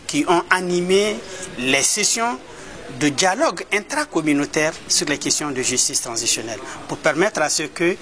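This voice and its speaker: A man from Mali, an advocate, giving a measured, explanatory interview answer with frequent hesitations and repeated words. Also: fairly high pitch, expressive, bright, forceful tone. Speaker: human rights advocate.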